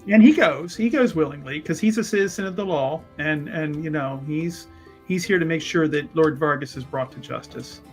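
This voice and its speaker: A man's voice: on the high side, normal speed, soft background music. A man talking casually as a player in a tabletop roleplaying game, describing what his character does.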